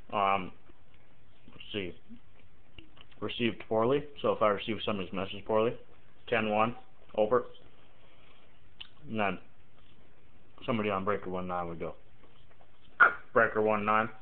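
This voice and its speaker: Lowish guy voice on tinny phone-quality audio, casual tutorial, kinda halting, thinks out loud, does example radio callouts. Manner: informal, halting, thinking aloud. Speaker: amateur CB radio tutorial maker. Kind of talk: casual explainer with acted example radio calls.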